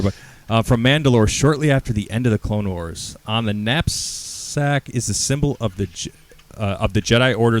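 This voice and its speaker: A man co-hosting a casual podcast chat, excitable and laughing, who swears freely and sometimes reads notes aloud. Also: medium pitch. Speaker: podcast co-host. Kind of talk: casual chat among friends, reading gift notes aloud. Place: garage.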